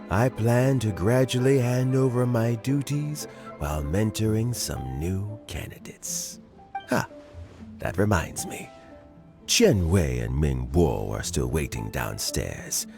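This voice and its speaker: An actor playing a wise elderly spymaster in a game, giving calm, measured advice. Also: male voice, low-pitched, heavily acted. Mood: calm counsel. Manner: measured, advising, gently paced. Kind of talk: game voice acting. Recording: game audio with faint music. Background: faint music.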